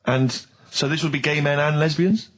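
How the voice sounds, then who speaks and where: A man's voice: a little high, steady tone, normal speed. A man co-hosting a radio show in casual comic banter, asking questions with mock incredulity.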